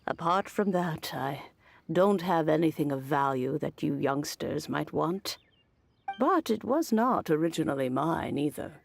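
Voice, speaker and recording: Slow, creaky, wistful old woman. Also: low voice, full character voice. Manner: slow, deliberate, sighing, gently teasing. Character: frail, wistful, kindly old woman, cryptic and nostalgic. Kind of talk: video game voice acting. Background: soft game music.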